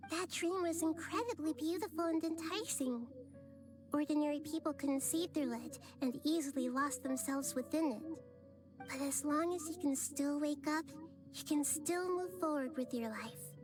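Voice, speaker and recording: A gentle, wise young goddess, voiced by a woman, speaks in a high, soft and thoughtful voice. She sounds calm and earnest, with game music playing behind her. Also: normal speed, lightly acted. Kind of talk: game cutscene voice acting.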